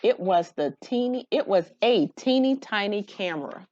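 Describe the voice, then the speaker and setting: A woman storyteller narrates a children's picture book with expression. Her pitch is middling. The recording is dry and a little dull. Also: lively intonation, moderate pace, a little mellow, no echo. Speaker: read-aloud storyteller.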